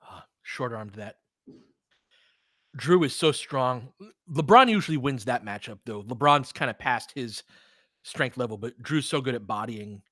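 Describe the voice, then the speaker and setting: A man's voice: mid-range voice, animated, measured pace, bright, forceful tone, no echo, clean studio sound. A man hosting a live basketball stream, chatting and commentating casually in a blunt, salty, informal way.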